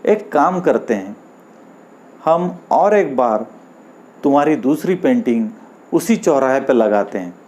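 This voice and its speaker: Man reading as wise male friend, reassuring, instructive, slow measured pace, mid pitch, storybook tale. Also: animated, darkish tone, close to an everyday voice. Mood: encouraging.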